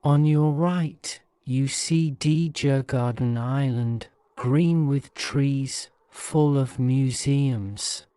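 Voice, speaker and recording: A man reads a bedtime guided-imagery story at a very slow, measured pace. His voice is soothing and mellow, with a smooth, clean tone. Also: medium pitch, no echo. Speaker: narrator.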